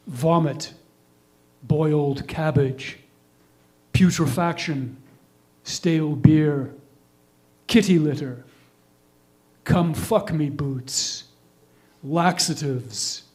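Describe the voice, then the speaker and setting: A middle-aged man performing a scripted comic monologue in ornate wording, with deliberate, deadpan delivery. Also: fairly high pitch.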